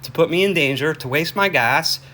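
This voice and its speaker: A man phoning in a complaint, explaining it in a halting, repetitive and insistent way. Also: fairly high pitch, bright, forceful tone. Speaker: caller reporting a suspicious order to police. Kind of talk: phone conversation, explaining a complaint. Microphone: phone. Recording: noisy phone call recording.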